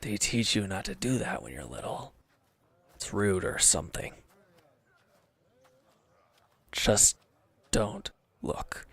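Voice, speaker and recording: Guy doing a beaten down villain henchman for audio rp, low creaky voice, bitter n defensive, halting, kinda hushed. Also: no echo, heavily acted. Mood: defensive, irritable. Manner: sullen, halting, sighing, dropping into near-whispers. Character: wounded, defeated male villain henchman, bitter, defensive, despondent. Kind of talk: intimate audio roleplay drama.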